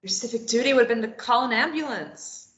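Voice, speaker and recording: A woman reading the part of a defiant, sharp-tongued young woman held captive, snapping back and pitching up when pressed. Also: brisk, lightly acted. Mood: wary. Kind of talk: amateur table read. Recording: roomy, muffled lo-fi recording.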